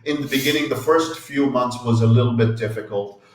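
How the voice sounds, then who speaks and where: A man from Canada chatting as a guest, animated and restarting his sentences often. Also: medium pitch, brisk, very echoey, rough recording. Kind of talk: casual interview chat.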